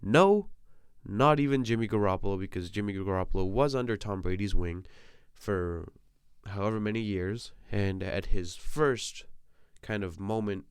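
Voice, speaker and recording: A young man, his voice deep and smooth, talks alone into the mic about sports. He rants casually and swears freely, and he is getting over a cold. The sound is dry and close, from a small compact room. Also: normal speed, no echo. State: recovering from a cold. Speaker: podcast host. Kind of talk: solo sports talk.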